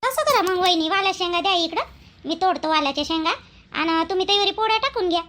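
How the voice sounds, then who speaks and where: A woman's voice: very high-pitched, quick pace, pushed and bright. A woman voicing an insistent, chatty young sister-in-law in a village cartoon, with a Vidarbha Marathi accent. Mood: practical, bright. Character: chirpy, pushy, helpful young sister-in-law in a village family.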